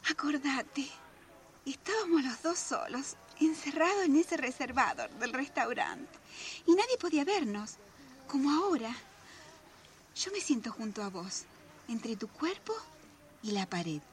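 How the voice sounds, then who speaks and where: A woman's voice: high voice, sing-song, a little slow, pauses often, slight background noise. An actress playing an older Argentine wife and mother in a radio drama, sensual, coquettish and theatrical, with a Rioplatense accent. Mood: dreamy, seductive.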